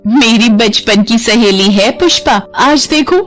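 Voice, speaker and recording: A woman's voice: fairly high pitch, slow, fairly bright tone, faint music behind. A woman voicing a gluttonous middle-aged mother-in-law in a cartoon, coy and wheedling. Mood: fond. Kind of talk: cartoon voice acting.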